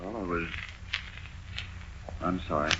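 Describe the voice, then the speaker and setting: A man's voice: sits low, takes it slowly, sharp tone, full character voice. An actor playing a nervous, earnest young patrolman, defensive and pleading, in a vintage radio crime drama. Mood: sheepish. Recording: noisy, muffled old-time radio recording.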